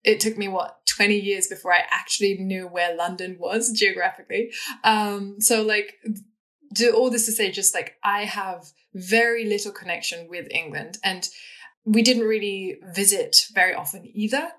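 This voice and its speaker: Twenties woman guest, relaxed, rambling, mid-pitched voice. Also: normal speed. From Japan. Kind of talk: casual interview answer.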